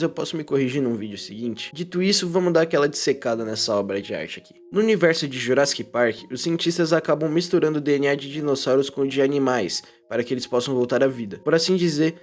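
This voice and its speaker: A man's voice: medium pitch, fast, few pauses. A man with a Brazilian accent narrating a scripted video essay in an informal, irreverent way. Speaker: YouTuber narrator and commentator. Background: prominent background music.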